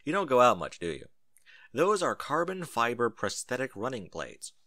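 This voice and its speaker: A man's voice: medium pitch, wildly swooping pitch, normal speed, sharp tone, no echo, studio quality. A man voicing an earnest, know-it-all pony in a story, explaining things emphatically. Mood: exasperated.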